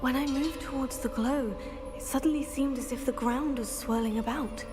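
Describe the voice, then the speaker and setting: An actress playing a polite, observant young witch student in a video game, speaking earnestly and clearly. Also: high voice, moderate pace, close to an everyday voice. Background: game soundtrack music.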